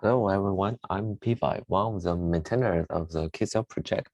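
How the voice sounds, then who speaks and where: Deep-voiced man on a video call. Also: fairly quick, a little mellow, no echo. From China. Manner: careful, repeats the same rehearsed introduction. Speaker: open-source project maintainer. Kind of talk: short self-introduction in a video meeting.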